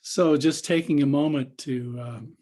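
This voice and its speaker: A man with a husky voice leading an unscripted guided meditation as a teacher, speaking haltingly with long pauses and trailing phrases. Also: a little high, mellow.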